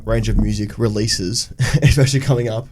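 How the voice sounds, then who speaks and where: A man with a lowish voice hosts a casual podcast interview. He asks loose, rambling questions and answers his guest with short replies. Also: normal speed. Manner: relaxed, brief back-channel replies. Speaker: podcast host.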